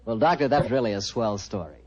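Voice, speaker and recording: An American man working as a 1940s radio announcer and pitchman, chummy and salesmanlike in folksy scripted patter. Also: quick pace, background noise. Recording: muffled vintage radio broadcast.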